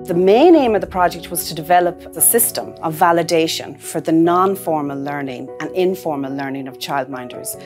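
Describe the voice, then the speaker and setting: A middle-aged woman explaining a project in a calm documentary interview. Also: low voice, moderate pace, few pauses. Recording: interview with music bed.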